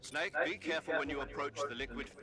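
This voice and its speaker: An actor playing an authoritative military commander in a video game, briefing in a firm, deliberate, instructive way. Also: male voice, a little high, close to an everyday voice. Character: authoritative male military commander giving mission orders. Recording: loud music under the voice.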